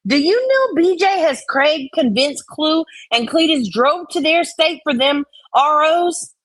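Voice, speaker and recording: A woman's voice: sits high, lively intonation, a little slow, fairly bright tone, no echo. A woman co-host giving casual commentary, reading comments out dramatically and reacting bluntly, with exasperation and free swearing.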